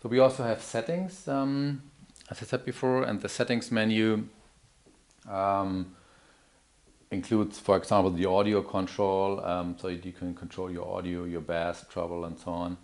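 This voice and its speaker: A man's voice: deep voice, level tone, normal speed, a little mellow. A man presenting an unscripted product demonstration in a hesitant, explanatory way. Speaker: product demonstrator, account manager. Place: indoor office or showroom.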